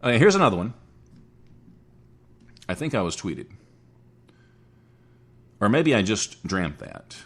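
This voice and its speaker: A man's voice: fairly low pitch, big pitch swings, a little slow, pauses often, slightly rough. A man in his forties hosting a casual solo talk show, chatty and rambling, joking at his own expense. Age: 40s. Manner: chatty, rambling, self-mocking. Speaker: internet radio show host.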